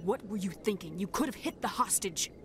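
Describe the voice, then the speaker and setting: A woman's voice: mid-range voice, expressive, fairly quick, over music, natural voice. An actress voicing a firm, principled female military commander in a video game, direct and commanding. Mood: firm, composed.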